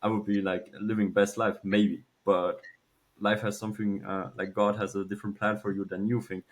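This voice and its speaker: A man, telling a personal story casually and haltingly, with some swearing. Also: on the low side, level tone. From Switzerland. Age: early 20s. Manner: halting, informal, swears freely. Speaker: podcast guest, young entrepreneur.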